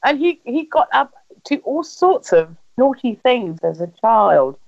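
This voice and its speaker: A woman's voice: fairly high pitch, sing-song, measured pace, vocal fry, darkish tone. A woman with an English accent telling personal family stories in conversation, acting out remembered dialogue as she goes. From England. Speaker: interviewee recounting family history. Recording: slightly dull, lo-fi sound.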